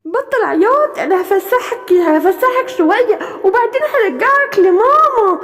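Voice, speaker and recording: A woman's voice: extremely high pitch, animated, normal speed, a bit bright. A woman voicing a sly, rude female animal kidnapper in an Egyptian Arabic accent, brusque and dismissive. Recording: long hall reverb.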